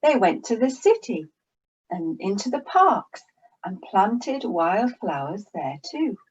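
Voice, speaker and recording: A woman reads a children's picture book aloud. She takes her time, letting her pitch rise and fall expressively, and her voice is a little breathy. The recording sounds somewhat dull. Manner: slow, expressive storytelling. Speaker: storyteller. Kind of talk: reading a children's story aloud.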